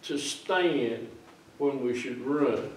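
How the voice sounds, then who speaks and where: Older pastor, slow and folksy, roomy church. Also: male voice, mid-range voice. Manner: folksy, slow, teasing and chatty with kids. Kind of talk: children's sermon. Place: small church sanctuary, seated among children. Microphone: far camera. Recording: roomy church sound from far off.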